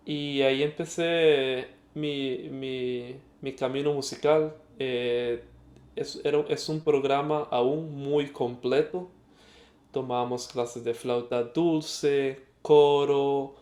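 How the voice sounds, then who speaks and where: A man's voice: mid-range voice, darkish tone, echoey room. A young Costa Rican man with a Costa Rican accent, telling his story as an interview guest, reflective and hesitant with fillers. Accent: Costa Rican Spanish. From Costa Rica. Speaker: guest musician.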